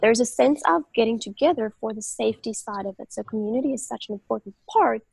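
A woman's voice: fairly high pitch, fast, gravelly, darkish tone, slightly rough, dry recording, rough recording. A woman in her thirties from South Africa, a native Afrikaans speaker with a South African accent, talking thoughtfully on a video call through an earphone mic with many fillers and restarts. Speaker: guest, medical doctor. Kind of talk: casual interview talk. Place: home room on a video call. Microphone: earphone headset mic on a video call.

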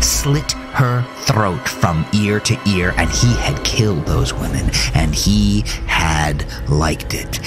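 A man giving dramatic scripted horror narration in an ominous, theatrical manner. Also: low-pitched. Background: music.

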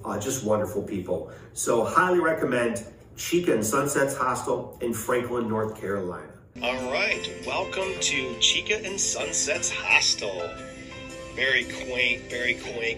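Middle-aged man, roomy casual vlog. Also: mid-range voice. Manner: enthusiastic, informal. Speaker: hiker and video reviewer. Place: indoors in living rooms of a house. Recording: roomy, distant mic, music behind.

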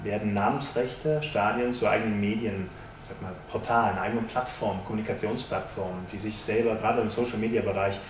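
A man in his thirties, a sponsorship executive, giving measured businesslike interview answers with frequent hesitations, in a stadium lounge. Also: fairly low pitch, steady tone. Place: stadium lounge with glass walls, indoors. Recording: roomy, distant mic, faint hiss.

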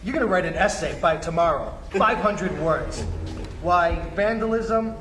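A man at a table read, reading the lines of a gruff, scolding school official. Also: sits high, lively intonation, measured pace. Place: conference room. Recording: echoey room with crowd noise.

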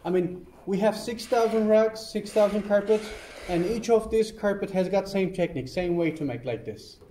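A man's voice: high voice, normal speed, mellow. Turkish-accented man guiding a tour, echoey. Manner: patient, explanatory, checks in with "okay?". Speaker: carpet factory tour guide. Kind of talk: guided tour explanation. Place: indoor carpet workshop. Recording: echoey room, from a distance, noisy.

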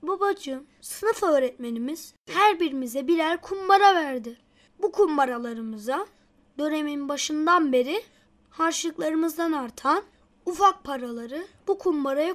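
A very high, childlike voice of a little schoolboy in a children's cartoon. He sounds earnest and anxious, like a sincere small kid. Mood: earnest, downcast.

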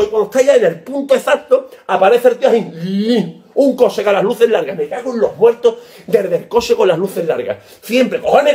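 Guy in his 30s yelling a comic rant into his webcam, very high voice, all worked up. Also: a little hiss or hum. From Spain. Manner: loud, animated, gesturing wildly, swearing and shouting. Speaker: comedy vlogger. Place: small indoor room. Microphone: laptop webcam.

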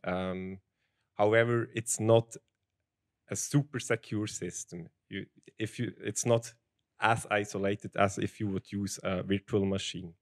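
A man with a Swiss German accent and a fairly low voice explains technical material at a conference. The sound is dry and close, and his delivery is plain and steady. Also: measured pace, dry recording. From Switzerland. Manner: plain, steady, with restarts and "basically". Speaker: conference speaker, field application engineer. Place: large conference hall with audience. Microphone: handheld microphone.

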